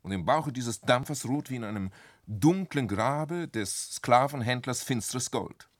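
An actor playing a calculating, greedy businessman in a classic radio play, speaking haltingly with fillers. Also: mid-range voice, animated, dry recording, clean studio sound. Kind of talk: classic radio drama acting.

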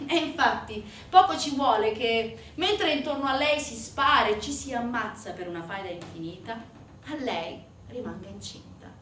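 A woman's voice: on the high side, animated, moderate pace, a bit bright. A woman stage actress delivering dramatic scripted narration with emphatic, swinging storytelling. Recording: roomy, distant stage recording with faint noise.

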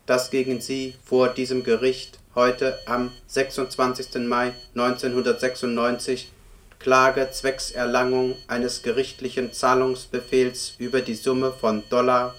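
An actor voicing an impersonal court computer, reading formal official notices in a deadpan, bureaucratic manner in a radio play. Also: male voice, mid-range voice, measured pace, lightly acted. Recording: clearly noisy radio play recording.

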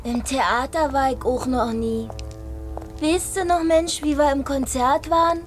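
Slow-talking wistful young woman. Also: high voice, steady tone, soft background music. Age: young adult. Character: wistful young working-class Berlin woman, a stenographer. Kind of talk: radio drama acting.

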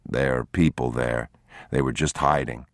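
A man voicing a weary, stern but protective father with terse, hushed commands, in an audiobook story. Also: very deep voice, fairly even intonation, moderate pace, vocal fry, no echo, studio quality, pitched below the performer's own voice. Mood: firm, weary.

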